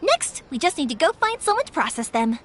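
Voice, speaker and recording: High, perky young fireworks maker. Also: female voice, fairly quick, slight background noise. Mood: bubbly, chatty. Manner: perky, expressive, chatty. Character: cheerful young fireworks maker woman, helpful and eager. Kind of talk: scripted video game voice acting. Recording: music playing behind the voice.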